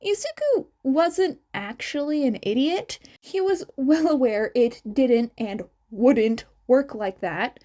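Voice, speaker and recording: Female audiobook-style narrator: soft-toned, expressive, lively pitch, unhurried fiction reading. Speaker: amateur audiobook reader.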